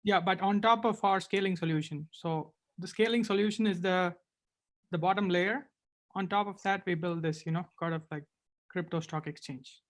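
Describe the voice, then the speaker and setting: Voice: medium pitch, moderate pace. A panelist briefly describing their work in a casual way, with fillers. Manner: casual, with fillers like "you know". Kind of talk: online panel introduction.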